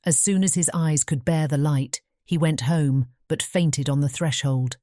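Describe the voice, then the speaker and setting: Female storybook narrator: mellow, measured bedtime reading, recorded very dry and close. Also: sits low, dry recording. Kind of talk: scripted bedtime fairy-tale narration.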